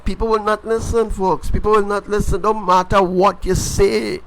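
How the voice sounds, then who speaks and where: A man preaching on a live stream. He talks emphatically and repeats himself, swinging between loud declarations and low asides. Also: level tone, moderate pace. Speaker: self-styled prophet.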